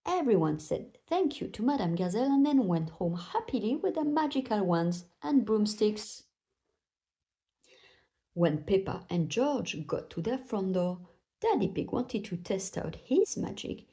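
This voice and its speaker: A woman's voice: medium pitch, big pitch swings, unhurried, mellow. A woman narrating a children's bedtime story aloud, telling it expressively for young listeners.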